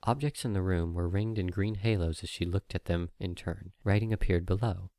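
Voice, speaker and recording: A man's voice: sits low, moderate pace, mellow, no echo, clean studio sound. A man reading a story aloud as an audiobook narrator, in scripted storytelling style.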